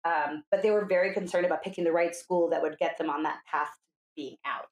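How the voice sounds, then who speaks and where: An American woman around fifty with a slightly lower-pitched voice, recorded in a roomy, echoing space. She talks casually and candidly, slipping into quoted dialogue as she tells her stories. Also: steady tone, brisk, darkish tone. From New Hampshire, USA. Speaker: podcast guest, coach. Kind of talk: casual conversational storytelling.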